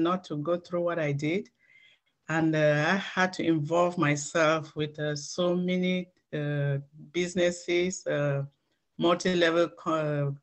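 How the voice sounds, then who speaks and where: A middle-aged woman giving an earnest, halting personal testimony before a training presentation. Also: lowish voice. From Cameroon.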